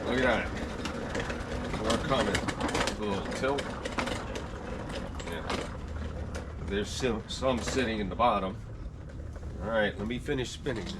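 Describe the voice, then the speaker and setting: Low male voice, folksy gardening vlogger, casual hands-on review, clear background noise with vehicle-like hum. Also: takes it slowly, long pauses. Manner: folksy, chatty, talking while working. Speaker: gardening vlogger demonstrating equipment. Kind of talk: casual hands-on product review talk to viewers.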